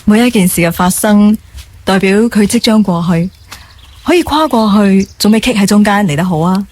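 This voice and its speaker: A woman's voice: medium pitch, normal speed, darkish tone, close to an everyday voice. A woman acting a devoted assistant in her twenties in a radio drama, speaking sincerely and soothingly. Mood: calm, reassuring. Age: 20s. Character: caring young female assistant, thoughtful, devoted, earnest. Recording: background music under the voice.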